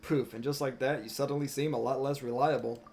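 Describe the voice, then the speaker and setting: A man's voice: medium pitch, fairly even intonation, a little fast, darkish tone, slight background noise, lightly acted. A man voicing a small, curious, easily spooked fairy companion while reading video game dialogue aloud. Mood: impressed, teasing. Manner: chatty, questioning, speaks in third person. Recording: music behind the voice.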